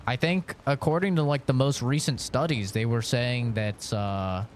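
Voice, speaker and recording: A man's voice: mid-range voice, moderate pace, noisy background. A man in his late twenties chatting casually while he streams a game, rambling frankly with lots of fillers. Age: late 20s. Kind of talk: casual chat while gaming.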